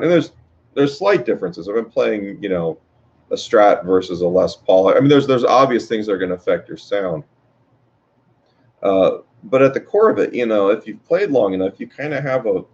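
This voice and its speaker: A man teaching guitar in a casual workshop talk, with a low voice and a rambling way of speaking, full of fillers and restarts. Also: a little mellow. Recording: dull lo-fi sound.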